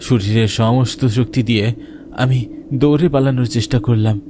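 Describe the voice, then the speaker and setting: A man's voice: medium pitch, background noise, close to an everyday voice. A man playing a frightened villager who narrates his own ghost encounter in a dramatic, suspenseful horror-cartoon style. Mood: fearful, suspenseful. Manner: suspenseful, deliberate. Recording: prominent music behind narration.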